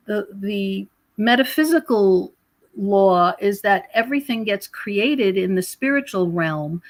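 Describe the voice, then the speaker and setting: A middle-aged or older woman speaking as a podcast guest, explaining spiritual ideas conversationally in a rambling way with pauses and repeated phrases. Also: medium pitch, dry recording. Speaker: podcast guest, researcher and author. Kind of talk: conversational spiritual commentary in an interview.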